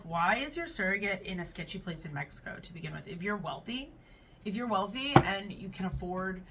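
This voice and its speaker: A woman's voice: medium pitch, fairly bright tone, distant mic, slight background noise. A woman hosting a casual reaction video, chatting and reading online comments aloud. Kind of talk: casual reaction to read-out posts.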